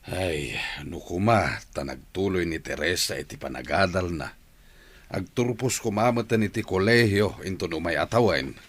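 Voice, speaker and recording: A man's voice: deep voice, moderate pace, a bit bright, slightly rough, full character voice. A man playing a supportive older uncle in a radio drama, talking in a reassuring, conversational way. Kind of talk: Ilocano radio drama acting.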